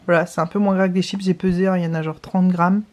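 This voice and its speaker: A woman's voice: fairly low pitch, little pitch movement, fast, a little mellow, slight background noise. A young woman vlogging in casual chat to camera, chatty and rambling, with many fillers and restarts. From France.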